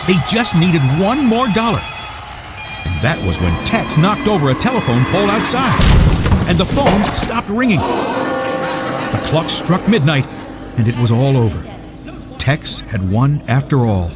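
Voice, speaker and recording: Man, children's story narration, expressive, higher-pitched, very varied intonation, scripted. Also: a little slow, long pauses, over loud music.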